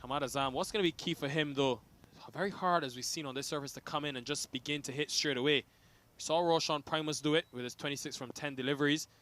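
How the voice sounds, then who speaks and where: A man's voice: a little high, fast talker, a bit bright. A man calling a cricket match as play-by-play commentator, rising with the big hits.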